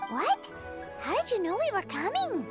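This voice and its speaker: A tiny fairy girl with an extremely high, squeaky voice that swoops wildly. She is a cheeky, excitable game companion, with loud childlike exclamations over prominent music. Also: moderate pace, full character voice. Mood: indignant. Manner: speaks of herself in third person, exclamatory. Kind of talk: video game voice acting.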